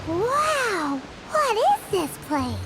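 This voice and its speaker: An actress voicing a tiny, childlike fairy companion in a video game, squeaky, earnest, exaggerated and excitable. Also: female voice, drawn-out words, noisy background, heavily acted. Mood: amazed. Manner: speaks of herself in third person, exaggerated, expressive. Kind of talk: English video game voice acting.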